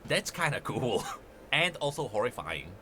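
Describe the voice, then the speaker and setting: Male lore commentator, enthusiastic and emphatic, bright forceful tone, very lively intonation, slight noise behind. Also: medium pitch, normal speed. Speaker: reactor and game lore commentator. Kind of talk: excited off-the-cuff reaction commentary.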